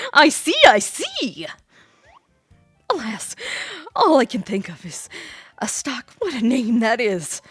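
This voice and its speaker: A woman voicing an exuberant young gentleman traveler from a video game, theatrical, florid and over-polite. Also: on the high side, breathy edge, no room sound, exaggerated voice.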